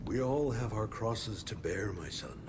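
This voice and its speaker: Low, folksy old frontier priest. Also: male voice, lively intonation, noisy background. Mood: wry, fatherly. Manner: measured, folksy, pious. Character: folksy, wry frontier priest, calm and fatherly. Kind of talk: video game cutscene acting.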